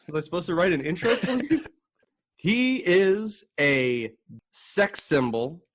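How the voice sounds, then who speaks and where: A man hosting a casual comedic podcast, chatting in a joking, animated and profane way. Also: on the high side. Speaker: podcast host.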